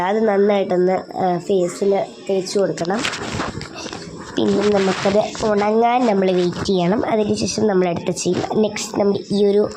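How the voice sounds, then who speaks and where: A woman's voice: medium pitch, noisy background. A woman with a Malayali accent narrating a skincare vlog in a casual, informal way, hesitating as she talks directly to viewers. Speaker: skincare vlogger.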